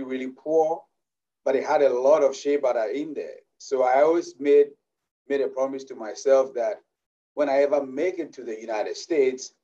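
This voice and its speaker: A man with a Ghanaian accent gives measured interview answers, his phrases trailing off at the ends. His voice is mellow and sits a little back from the mic. The sound is dull, like remote call audio. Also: mid-range voice, distant mic. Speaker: business founder guest.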